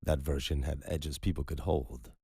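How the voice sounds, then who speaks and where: Very deep, husky male narrator. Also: fairly even intonation, moderate pace, darkish tone, dry recording, studio quality. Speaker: audiobook narrator. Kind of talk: scripted narration.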